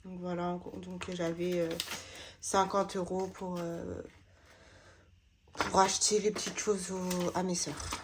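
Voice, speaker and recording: A woman's voice: lowish voice, flat delivery, measured pace, pauses often, background noise. A woman, slightly stuffy from a cold, chatting casually while sorting her budget envelopes, with lots of hesitant fillers. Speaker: budgeting vlogger.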